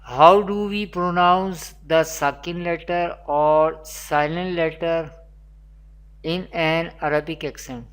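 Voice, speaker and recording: Slow, deliberate teacher. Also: mid-range voice, fairly even intonation, a bit bright, clear, resonant tone. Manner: slow, repetitive teaching delivery with Arabic recitation. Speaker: Quran teacher. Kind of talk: recorded online lesson.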